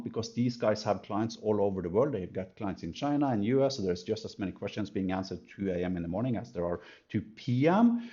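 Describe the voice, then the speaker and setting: A man presenting an unscripted software demo in an emphatic, halting way, thinking aloud as he goes. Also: medium pitch, lo-fi sound. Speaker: company presenter comparing products. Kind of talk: unscripted screen-recorded product demo commentary.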